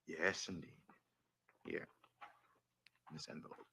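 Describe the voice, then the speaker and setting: An actor playing a mocking, quietly sinister killer in 1940s radio thriller style. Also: male voice, extremely low pitch, slow pace, creaky, husky, no echo, exaggerated voice, poor audio. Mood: softly menacing. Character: menacing, mocking killer, smooth middle-aged man.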